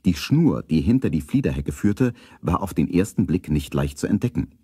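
A man narrating a children's radio play as a calm storyteller. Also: deep voice, moderate pace, husky, no echo, studio quality, full character voice. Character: calm adult male storyteller.

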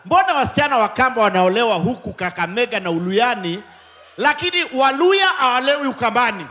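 High, shouting Kenyan comedy host. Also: male voice, expressive, fast talker. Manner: shouting call-and-response to the crowd. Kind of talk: live stand-up hosting. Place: large outdoor concert stage at night. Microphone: handheld mic. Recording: echoey live stage with loud music.

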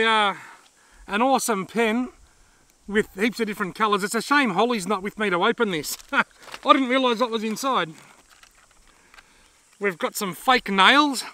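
Bright-voiced Australian man outdoors. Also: very high-pitched. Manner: friendly, chatty, laughs easily. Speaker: fishing channel host. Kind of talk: casual vlog reading viewer mail. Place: outdoors in dry open woodland. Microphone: camera close up at arm's length.

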